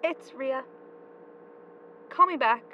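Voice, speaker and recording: A woman playing a distraught young woman in an audio drama, speaking haltingly and emotionally. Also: sits high. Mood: pleading, upset. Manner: halting, emotional, repeating herself. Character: distraught young woman pleading with her missing friend. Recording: muffled, noisy, vehicle-like ambience.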